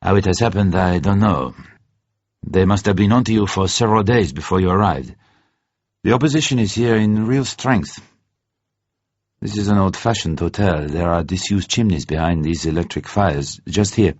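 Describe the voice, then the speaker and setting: A man reading an audiobook in character as a jovial, theatrical French secret agent, animated and conspiratorial, savouring his words. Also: low-pitched, normal speed, dry recording, muffled recording. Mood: earnest, confiding.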